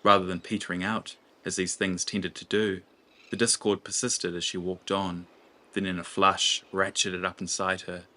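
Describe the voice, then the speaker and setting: A man narrating a scripted fantasy audio drama in a deliberate, literary storytelling manner. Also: sits low, flat delivery, pushed and bright.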